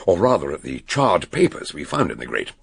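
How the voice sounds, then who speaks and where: An actor playing a shrewd, commanding older British detective who also narrates a radio drama, gravelly and husky, with a theatrical, emphatic delivery. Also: male voice, deep voice, huge pitch swings, brisk, no echo, studio quality, heavily acted. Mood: emphatic, reasoning.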